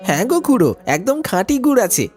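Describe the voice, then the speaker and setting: A woman's voice: a little high, sing-song, brisk, a little mellow, faint music behind. A woman voicing a young village boy who sells jaggery, earnest and anxious, in colloquial cartoon dialogue. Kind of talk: animated ghost story dialogue.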